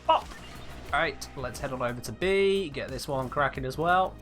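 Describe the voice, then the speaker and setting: Lively young English man streaming games. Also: mid-range voice, moderate pace, soft background music. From England. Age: 20s. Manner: playful, mock-indignant, reads chat aloud. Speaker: game streamer. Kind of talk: casual live stream chat while gaming.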